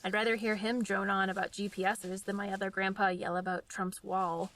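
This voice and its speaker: A woman's voice: mid-range voice, little pitch movement, fairly quick, a bit bright, lightly acted. A young woman acting in a radio drama as a practical, loyal travel companion, dry and slightly prim. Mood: dry, deadpan.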